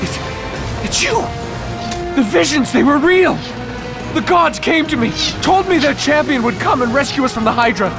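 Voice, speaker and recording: Brief: cowardly captain, shrill frantic panic, loud score underneath. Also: male voice, expressive, moderate pace, pauses often. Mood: panicked. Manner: frantic, high-pitched yelling. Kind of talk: video game cutscene acting. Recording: loud music behind.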